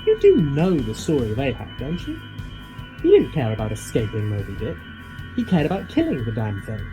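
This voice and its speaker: A man's voice: medium pitch, huge pitch swings, a little fast, poor audio. An actor playing a reckless, obsessive, flippant monster hunter in an audio drama, wordy and wry in his theatrical delivery. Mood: grimly defiant. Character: reckless, obsessive male eccentric hunting a monster. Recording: music behind the voice.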